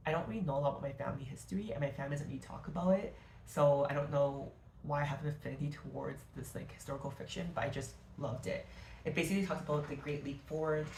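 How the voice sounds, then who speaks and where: A woman's voice: deep voice, darkish tone, a little hiss or hum. Gushing woman reviewing books. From Canada. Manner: gushing, rambling, self-correcting. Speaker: book vlogger. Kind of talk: casual book review to camera. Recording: echoey room.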